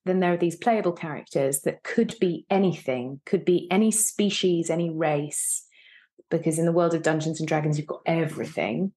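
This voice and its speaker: A woman's voice: deep voice, normal speed, warm tone. A woman in her thirties talking casually as an interview guest, thinking aloud as she goes, on a video call. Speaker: guest, actor. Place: indoor room, on a video call.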